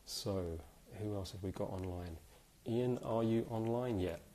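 A man in his thirties with a very low voice, a bit hoarse from a cold, hosting a live stream from a small room. He talks chattily and keeps spirits up, with plenty of ums along the way. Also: measured pace, dark tone, slight background noise. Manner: chatty, upbeat, many fillers. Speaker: host.

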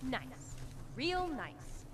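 A woman's voice: high-pitched, very animated, drawn-out words, a bit bright, slight background noise, heavily acted. An actress playing a brash, sarcastic, foul-mouthed young woman in a horror video game, with blunt, sardonic delivery. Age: young adult. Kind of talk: video game drama acting.

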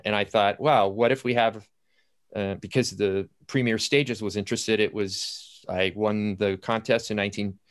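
Man, mature, low voice, playwright on an online panel, storytelling and quoting himself as he goes. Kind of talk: anecdotal panel talk about writing. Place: indoors at home, on a video call. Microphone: computer video-call audio.

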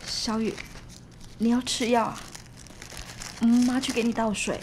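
A woman's voice: fairly high pitch, level tone, measured pace, noisy background, lightly acted. A woman acting a worried widowed mother, radio drama. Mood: caring. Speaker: voice actress.

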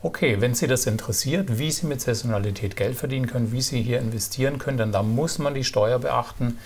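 A middle-aged man giving casual stock market commentary to camera, relaxed and halting, with many fillers and restarts. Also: mid-range voice, breathy edge. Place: indoor studio with virtual backdrop.

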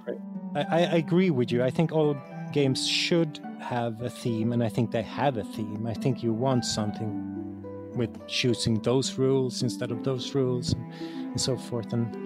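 A man's voice: mid-range voice, steady tone, normal speed, loud music behind the voice. A man from Sweden with a Swedish accent and Swedish as his first language, reflecting in an interview as a game designer, often repeating words as he searches for the right one.

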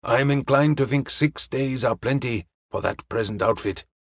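Guy doing a dignified chinese detective for an audiobook, wise and gently teasing, stilted formal phrasing, dry close sound. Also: mid-range voice, normal speed, husky, no echo. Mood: calm, benevolent. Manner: formal, stilted English with dropped articles and proverbs.

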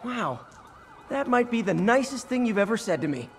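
A man's voice: very high voice, big pitch swings, moderate pace, a little hiss or hum, exaggerated voice. An actor playing a wisecracking young male superhero in a game, joking and teasing as he talks. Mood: casual. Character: wisecracking young male web-slinging hero.

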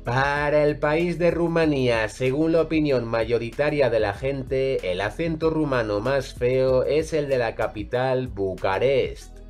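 A man reads a scripted voice-over at a very slow, deliberate pace, his voice sitting at an ordinary middle pitch. He moves through each entry in a measured, even way as faint music plays underneath. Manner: measured, evenly read list delivery. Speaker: narrator of ranking videos.

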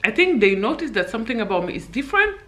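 A young woman from Senegal talking candidly to camera in a personal vlog, chatty, with frequent fillers and light laughs, recorded indoors in a bright living room. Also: a little high, very animated, fast talker. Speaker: vlogger.

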